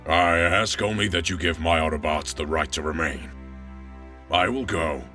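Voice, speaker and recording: A man performs a noble robot commander with an extremely deep voice, weary and resigned. He speaks in measured, solemn oratory for an audio drama, sounding set back from the mic with music clearly underneath. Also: pushed and bright, distant mic. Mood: solemn, resolute.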